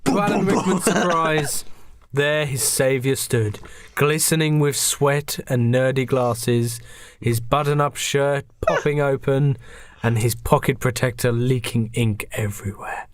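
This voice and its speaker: A man's voice: medium pitch, unhurried, dry recording. A man narrating a comic radio play on a podcast in a mock-dramatic storytelling manner.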